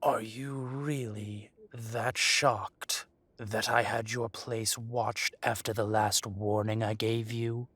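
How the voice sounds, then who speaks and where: A man playing an arrogant dragon mafia boss in an audio roleplay, speaking deliberately with mock politeness and quiet threat. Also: lowish voice, flat delivery, no room sound. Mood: coolly menacing. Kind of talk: scripted roleplay audio drama monologue.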